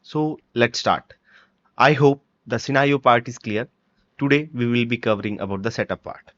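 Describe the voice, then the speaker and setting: Man giving a dull-sounding software walkthrough. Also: mid-range voice, normal speed, dry recording. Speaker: software tutorial presenter. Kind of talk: step-by-step screen-recording walkthrough.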